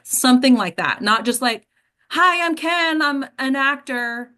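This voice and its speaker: A woman's voice: high voice, expressive, a little slow, sharp tone. An American woman giving casual, direct coaching advice as a host, often checking in with the listener as she goes. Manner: direct, emphatic, checks in with "right?" and "okay". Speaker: host and acting coach leading an online discussion.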